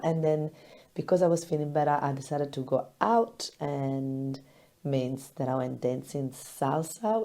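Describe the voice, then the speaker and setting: A hoarse woman with a crackly, very low voice talks to her listeners in a loose, expressive monologue. Her tone is dark and mellow, and she laughs often between thoughts. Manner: chatty and expressive, laughs often, animated retellings. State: hoarse, recovering lost voice. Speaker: business podcast host. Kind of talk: casual solo podcast talk.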